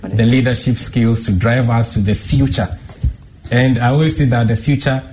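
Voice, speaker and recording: A man speaks as a guest on a talk show, laying out his points in a measured way. His voice is warm and dark. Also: medium pitch, fairly even intonation, a little hiss or hum.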